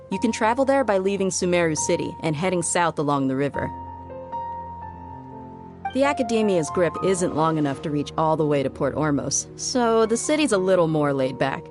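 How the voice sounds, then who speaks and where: A woman voices a frank young mercenary guard in a video game. She sounds savvy and conversational, giving candid advice. Music is clearly audible behind her. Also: fairly low pitch, darkish tone, natural voice.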